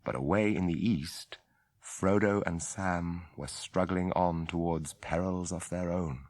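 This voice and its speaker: An actor with an English accent as a grave storyteller, narrating a radio drama in a measured, formal way. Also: male voice, sits low, fairly even intonation, a little mellow, no echo.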